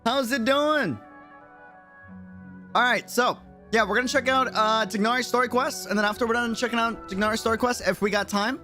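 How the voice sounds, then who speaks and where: A man's voice: very high voice, a little fast, sharp tone, soft background music. A man talking casually and jokily in slang as he livestreams a video game. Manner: slangy, informal, jokey. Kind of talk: casual livestream chat and reactions.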